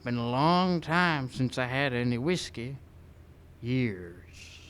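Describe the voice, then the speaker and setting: Cantankerous, addled old frontiersman for radio western; slow, sharp, stammering rustic delivery. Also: medium pitch, lively intonation, smooth. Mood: weary, confused. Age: older. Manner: folksy rustic speech, stammering, repeating himself. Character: bitter, confused, weary old frontier man. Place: live stage show in a park.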